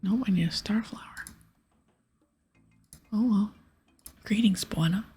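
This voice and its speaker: A woman whispering in a breathy ASMR style as she casually plays through a game, chatting softly and reading dialogue aloud. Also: mid-range voice, a little slow, faint music behind. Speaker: host of an ASMR gaming series.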